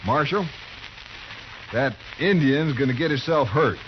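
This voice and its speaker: A man's voice: mid-range voice, lively intonation, measured pace, natural voice. An actor playing a bullying drifter gunman in a radio western, blunt and irritable. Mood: agitated. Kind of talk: 1950s radio western acting. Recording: muffled, faintly hissy old radio recording.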